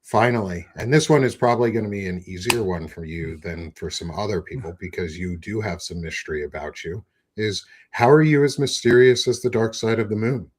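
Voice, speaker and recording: A man's voice: on the low side, brisk, breathy edge. A man hosting a podcast interview, encouraging and joking in a laid-back way. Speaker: podcast host. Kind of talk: casual interview conversation.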